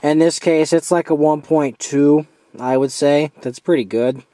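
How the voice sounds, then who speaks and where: A man giving a casual, hands-on product review as an amateur, informal and halting as he steps through features. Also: a little high, steady tone, warm tone.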